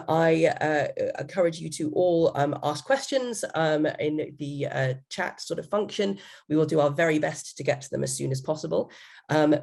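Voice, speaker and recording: A woman with a croaky voice opening an online event as host and handing over to the moderator. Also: low-pitched, a little mellow. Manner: apologetic, scripted welcome. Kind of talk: opening remarks.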